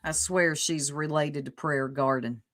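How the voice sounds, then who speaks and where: A woman's voice: sits low, fairly even intonation, measured pace, fairly bright tone. A woman co-hosting a livestream, blunt and sarcastic as she comments and reads chat aloud. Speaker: livestream co-host.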